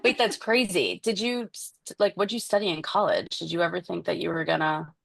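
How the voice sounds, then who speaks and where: A woman co-hosting a podcast, with a slightly low voice recorded dry and close. She asks chatty, friendly questions, full of "um" and "like.". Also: steady tone, fairly quick, creaky, slightly rough, no room sound. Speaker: podcast co-host. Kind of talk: casual podcast interview.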